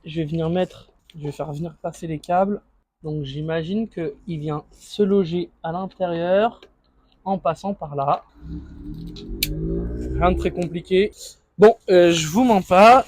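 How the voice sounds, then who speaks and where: A man's voice: sits high, darkish tone. A man speaking informally as a vlogger, thinking aloud through an improvised unboxing and installation commentary. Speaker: motorcycle vlogger.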